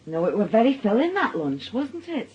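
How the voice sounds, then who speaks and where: A woman's voice: a little high, big pitch swings, moderate pace, slightly rough, heavily acted. Middle aged northern english lady, chatty comforting type, radio drama, muffled sound. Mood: concerned. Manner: gossipy, placating. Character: soothing, chatty middle-aged female family friend. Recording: dull radio drama recording.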